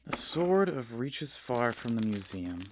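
A man playing a brooding kobold detective in a noir audio drama, wry and terse, with dramatic pauses. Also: medium pitch, very animated, a little hiss or hum, poor audio. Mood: pondering. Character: brooding male kobold detective, hard-boiled noir sleuth.

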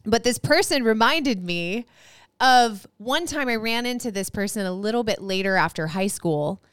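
A woman with a high voice co-hosting a casual podcast chat, rambling a little with restarts as she tells a story. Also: lively intonation, moderate pace, a bit bright, clean tone, no room sound, studio quality. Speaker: podcast co-host.

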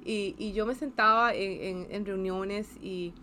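A woman's voice: mid-range voice, steady tone, unhurried, sharp tone, slight background noise. A woman in her fifties with a Costa Rican accent, answering interview questions in a casual, hesitant way, with many fillers, restarts and tag questions. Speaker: engineer interviewee.

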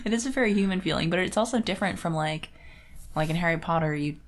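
A woman's voice: fairly low pitch, fast. A woman co-hosting a podcast, chatting casually about books in an informal, rambling way full of "like". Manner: informal, filler-heavy with frequent "like". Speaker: podcast co-host. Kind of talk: casual book discussion chat.

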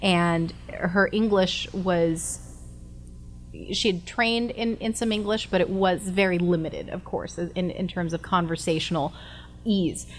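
Woman, middle-aged, from costa rica, lowish voice, faint background hiss. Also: steady tone, measured pace. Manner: warm, articulate, laughs easily. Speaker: guest, college radio and television instructor. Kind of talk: interview storytelling.

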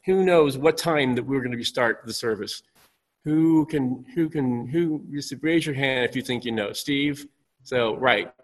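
A man's voice: mid-range voice, a bit bright. A man, a pastor, hosting a church panel from a stage, speaking loosely with many fillers and restarts. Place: church stage, far from listeners. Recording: long hall reverb.

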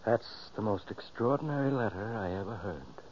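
An actor playing a quiet, astonished doctor in an old radio drama. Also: male voice, on the low side, expressive, normal speed, a little hiss or hum, lightly acted. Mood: amazed. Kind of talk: 1940s radio acting. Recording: dull old radio recording.

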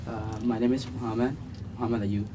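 A man's voice: mid-range voice, steady tone, fairly quick. A teenage young man from Malaysia speaking as a student guest. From Malaysia, Burmese parents. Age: teens. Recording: distant mic, noisy background.

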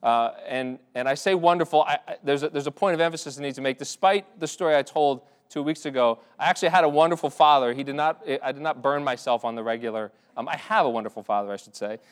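A man's voice: medium pitch, fast talker, few pauses, clear, resonant tone. A man preaching a sermon to his congregation in a church, talking in a conversational way with many restarts and fillers. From Ireland. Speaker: pastor. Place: church sanctuary.

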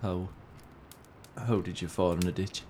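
An actor playing an uneasy brother who pleads and argues defensively. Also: male voice, sits low, fairly even intonation, measured pace, darkish tone, slight background noise. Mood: questioning. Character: uneasy brother trying to stop a story.

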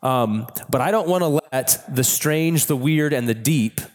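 A man with a cold, hoarse, preaching a sermon in a church in a candid, conversational way. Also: medium pitch, measured pace. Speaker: pastor. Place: church sanctuary. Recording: long reverb of a church hall.

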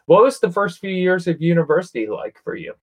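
A man's voice: sits high, sing-song, fairly quick. A man hosting an interview, asking questions and responding in an encouraging, reflective way. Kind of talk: casual interview.

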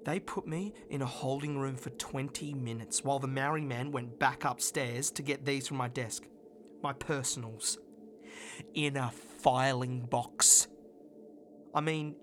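A man's voice: medium pitch, fairly bright tone. An actor playing a bitter, proud male office worker who has lost his job, in emphatic, volatile drama dialogue. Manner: volatile, emphatic, often profane, repeats phrases. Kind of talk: dramatic stage-play dialogue. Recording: noticeable background noise.

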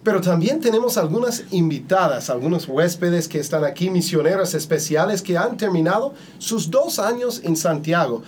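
A man hosting a conversational podcast interview, speaking haltingly and often repeating his words. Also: high voice, expressive, darkish tone, a little hiss or hum.

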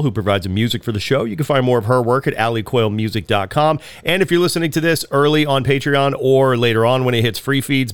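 A man hosting a podcast, a little raspy from a head cold, talking in a chatty, loose way with frequent ums. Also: mid-range voice, no echo. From Southern California. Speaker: podcast host. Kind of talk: casual podcast intro and ad reads.

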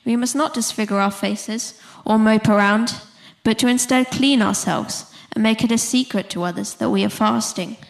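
A woman's voice: fairly high pitch, vocal fry. A woman giving a prepared sermon as a speaker at a church.

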